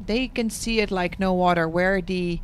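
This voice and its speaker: A woman's voice: lowish voice, fairly even intonation, moderate pace, slight background noise. A woman with a Dutch accent commentating on tennis, hesitant and full of ums. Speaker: guest commentator. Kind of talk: sports commentary.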